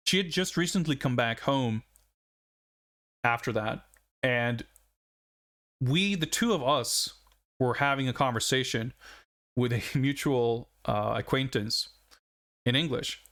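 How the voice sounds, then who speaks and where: A man's voice: mid-range voice, slow pace, pauses often, a bit bright. A man in his forties from Sweden, a native Swedish speaker, chatting casually on a game stream in a rambling, reflective way, chuckling often. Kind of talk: casual live-stream chat.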